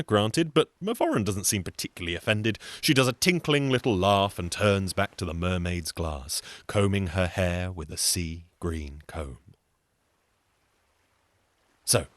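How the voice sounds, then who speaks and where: A man's voice: sits low, fairly bright tone, no room sound. A man with a British accent telling a scripted comic story in a wry, chatty way, full of asides. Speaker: storyteller and singer.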